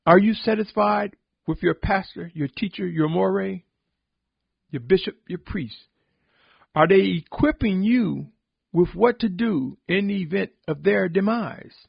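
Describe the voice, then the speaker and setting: A man giving a solemn, sermon-like podcast reflection, exhorting deliberately with emphatic repetition in a husky, gravelly voice. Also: high-pitched, no echo, highs cut off. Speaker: religious podcast host and preacher.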